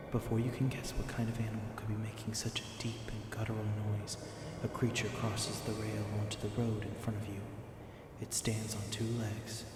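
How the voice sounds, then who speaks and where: A man narrating a scripted second-person story for an ASMR roleplay. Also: lowish voice. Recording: very echoey, long reverb, clear background noise.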